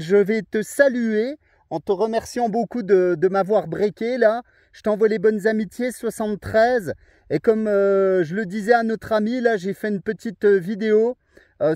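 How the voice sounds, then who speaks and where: High-pitched Frenchman chatting on radio. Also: a little mellow, no echo, studio quality. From France. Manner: chatty, friendly, tag words at phrase ends. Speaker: amateur radio operator. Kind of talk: casual radio contact chat.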